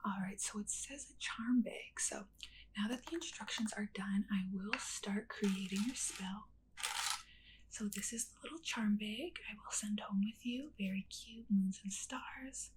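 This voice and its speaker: Lady whispering asmr as a sweet witch, slow gentle voice, middle pitch, faint hiss behind. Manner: gentle, unhurried whispering, addressing the listener directly. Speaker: ASMR creator playing a witch. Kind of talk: whispered ASMR role play.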